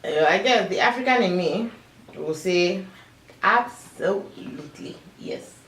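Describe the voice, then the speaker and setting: A woman's voice: fairly low pitch, expressive, a little slow, a bit bright. A woman co-hosting a casual panel chat, playful and teasing as she pushes back. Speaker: co-host. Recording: echoey room, from a distance, faint hiss.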